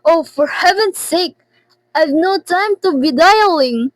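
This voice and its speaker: Teen girl playing a frantic, high-voiced invalid woman. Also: lively intonation, no echo, heavily acted, poor audio. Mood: impatient, demanding. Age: teenager. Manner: anxious, imperious, halting repetitions. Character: frantic, demanding invalid woman alone at night. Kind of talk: radio drama acting.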